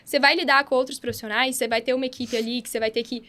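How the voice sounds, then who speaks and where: A woman's voice: fairly high pitch, fairly even intonation, bright, forceful tone. A woman doctor hosting a podcast, telling stories enthusiastically in a casual, chatty way with lots of fillers. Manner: enthusiastic, fast storytelling with many fillers. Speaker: podcast host, radiotherapy doctor and app founder.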